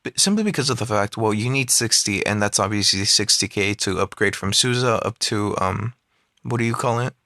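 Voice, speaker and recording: A man's voice: lowish voice, expressive, fairly quick, pushed and bright, dry recording, clean studio sound. A man giving casual commentary on a mobile game, rambling with many fillers and restarts, recorded on a phone.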